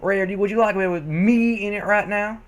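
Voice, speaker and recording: Guy doing a high voiced country pony girl, flustered and stubborn, comedy story reading. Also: fairly even intonation, fast, dull audio, natural voice. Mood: flustered, blurting. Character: flustered female country pony, blurting and defiant.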